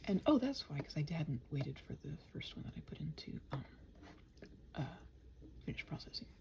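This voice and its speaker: A woman's voice: low voice, very animated, normal speed, creaky. A woman hosting a rambling ASMR work session, muttering to herself, often half-whispered, with faint noise. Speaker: host of a body-doubling ramble. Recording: faint noise, keyboard typing. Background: computer keyboard and typing.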